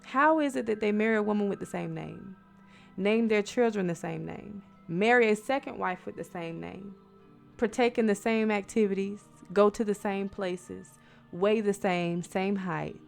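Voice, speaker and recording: An American woman with a creaky, fry-edged voice hosts a spooky bedtime story. She talks to listeners like friends, leaning on dramatic emphasis and rhetorical questions. Music plays behind her medium-pitched voice. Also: normal speed.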